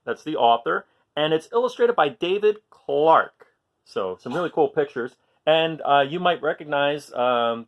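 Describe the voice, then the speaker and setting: A man reading aloud to young children, expressive, with chatty asides and questions put to his listeners. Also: a little high. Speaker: children's storytime reader.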